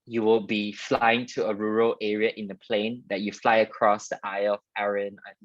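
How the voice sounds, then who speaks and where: A man's voice: on the low side, little pitch movement, moderate pace, dry recording, lo-fi sound. A young man from Malaysia with a Malaysian accent, telling personal stories in a chatty, wordy way as a guest dentist in a career talk. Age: young adult.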